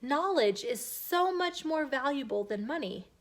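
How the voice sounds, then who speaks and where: A woman's voice: sits high, animated, unhurried, voice pushed up. A woman voicing a cheeky, confident schoolboy in a children's storybook, stretching his words for emphasis. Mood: proud. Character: cheeky, confident schoolboy who answers back.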